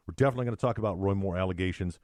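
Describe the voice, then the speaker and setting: A middle-aged man co-hosting a podcast in casual, joking, sarcastic chat, telling stories with animated delivery. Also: low voice, racing, no echo. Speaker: podcast co-host.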